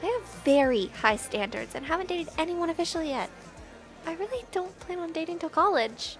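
A woman playing a nervous, nerdy teenage schoolgirl with a crush in an animated roleplay series. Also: very high-pitched, a little fast, faint music behind. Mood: annoyed.

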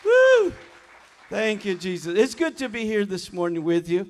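An older man singing gospel and chatting casually from a church stage with a stand mic, his talk relaxed and full of fillers. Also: sits high, moderate pace, long reverb tail, a faint crowd, slight background noise. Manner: relaxed, chatty, hesitant fillers between songs. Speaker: gospel singer and keyboardist. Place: large church sanctuary stage. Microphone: stand mic at keyboard. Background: keyboard music.

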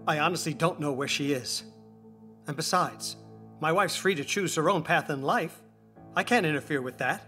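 An earnest middle-aged husband played by an actor in a stage drama. His voice is crisp, bright and slightly high for a man, and he answers authority politely but firmly, on the defensive. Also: normal speed, faint music behind. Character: earnest, protective husband questioned by police. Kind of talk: dubbed stage drama acting.

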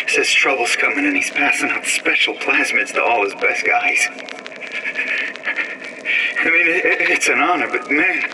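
An actor playing an anxious, apologetic American working guy, halting and colloquial, in a game audio log. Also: medium pitch. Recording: noisy, distant old audio-log recording.

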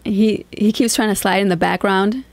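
A woman's voice: mid-range voice, fairly even intonation, moderate pace, no room sound. A woman hosting a reaction video, blunt and chatty, giving her opinions plainly. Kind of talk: casual reaction commentary.

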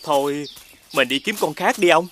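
High-voiced meek, dim servant. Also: male voice, moderate pace, sharp tone, a little hiss or hum. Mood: nervous. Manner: stammering, hesitant, deferential. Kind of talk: animated folk tale dubbing.

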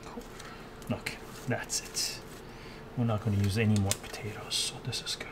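A man's voice: lowish voice, flat delivery, moderate pace, noisy background. An older man live-streaming from his home kitchen, thinking aloud while he cooks and answering viewers' chat. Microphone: fixed camera mic, moves around the kitchen.

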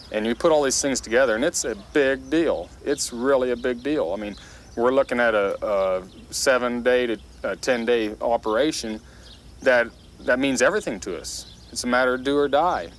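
A man's voice: mid-range voice, fairly bright tone, a little hiss or hum. A man in his thirties with an American accent, a farmer speaking earnestly and emphatically in an interview, stressing by repetition. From Kansas. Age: 30s. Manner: emphatic, builds lists and repeats for stress. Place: outdoors beside farm machinery.

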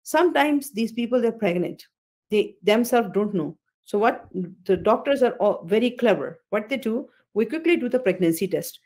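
A woman's voice: a little high, animated, moderate pace, mellow. Woman doctor lecturing on a video call. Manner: explanatory, repeats phrases, frequent fillers and self-corrections. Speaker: OB-GYN consultant hosting a webinar. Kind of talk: webinar lecture over slides. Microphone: computer mic on a video call.

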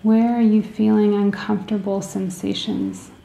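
A woman leading a guided meditation in a soothing, gentle way, with deliberate phrasing and pauses, in a roomy, echoing recording. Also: mid-range voice, flat delivery, vocal fry.

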